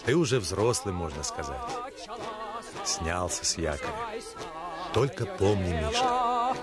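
An actor in a Russian radio play playing a gruff but kindly sailor soldier who instructs in earnest, colloquial speech. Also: male voice, sits low, huge pitch swings, unhurried, over loud music, heavily acted. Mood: earnest, warning. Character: gruff, kindly adult Red Army sailor mentor. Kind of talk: Russian radio drama acting.

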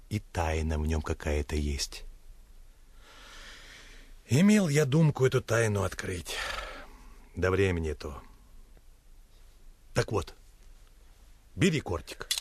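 An actor in a Russian radio play playing a gruff but kindly sailor soldier who instructs in earnest, colloquial speech. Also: male voice, deep voice, animated, unhurried, long pauses, no room sound, full character voice. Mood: grave, urgent. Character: gruff, kindly adult Red Army sailor mentor. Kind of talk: Russian radio drama acting.

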